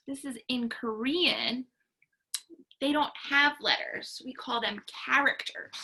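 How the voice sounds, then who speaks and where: A woman teacher reading a picture book aloud to children with expression, pausing to explain things along the way. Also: a little high, low-quality recording. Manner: expressive, pauses to explain to young listeners.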